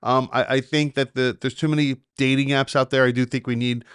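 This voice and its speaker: A man's voice: medium pitch, steady tone, fairly quick, fairly bright tone, smooth, dry recording, clean studio sound. A man co-hosting a casual business podcast, often stammering and restarting as he talks. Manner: stammering restarts, reads listener posts aloud. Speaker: podcast co-host.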